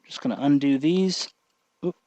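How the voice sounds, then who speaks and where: A man hosting a casual hands-on tutorial, thinking aloud in a halting way as he works. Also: on the high side, dark tone. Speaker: tutorial host, hobby electronics maker.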